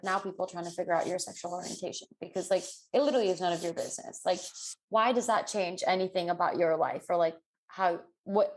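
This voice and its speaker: A woman co-hosting a podcast in casual chat, animated and acting out quoted people with a raised voice. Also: fairly low pitch. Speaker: podcast co-host.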